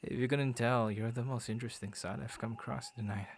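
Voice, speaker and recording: A man's voice: mid-range voice, steady tone, brisk, creaky, a little hiss or hum, lightly acted. A man voicing a friendly, bashful werewolf who guards his forest, stammering and playfully theatrical, in an ASMR boyfriend roleplay. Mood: amused, welcoming.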